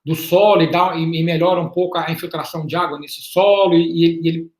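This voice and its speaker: A man giving a slide presentation as an invited panelist in an online talk. He speaks in a halting, explanatory way, repeats words and often checks in with a questioning "right?". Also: fairly high pitch, fairly even intonation. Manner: explanatory, measured. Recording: roomy echo on an online call.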